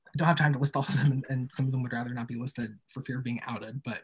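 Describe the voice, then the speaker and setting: Slightly husky man chatting in interview. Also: medium pitch, fairly even intonation, fast. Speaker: podcast creator and guest being interviewed. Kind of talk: casual interview chat.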